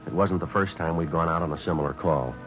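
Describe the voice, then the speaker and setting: A man acts a businesslike American detective in a vintage radio police drama. The voice is deep and quick, with terse deadpan delivery, on a dull old broadcast recording. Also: music in the background, close to an everyday voice. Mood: flat, factual. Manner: deadpan, terse, matter-of-fact. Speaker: narrator and lead actor. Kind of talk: 1950s radio police drama acting, clipped scripted narration.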